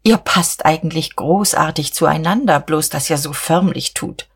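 A woman's voice: low-pitched, unhurried, breathy edge, exaggerated voice. A woman voicing a brash, provocative young fiancée in a novel reading, colloquial and challenging. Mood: chatty.

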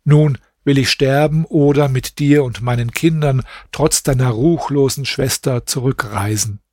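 A man's voice: mid-range voice, a little slow, darkish tone, dry recording, clean studio sound, lightly acted. A man voicing a determined young husband, speaking in a steadfast, resolute way.

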